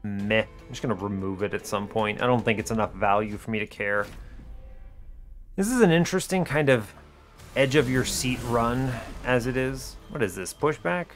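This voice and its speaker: Male gaming host, mid-pitched, casual and analytical, talking through decisions with faint music underneath. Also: moderate pace. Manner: explains his reasoning as he plays, self-questioning. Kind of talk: casual strategy game commentary, thinking aloud. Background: music behind the voice.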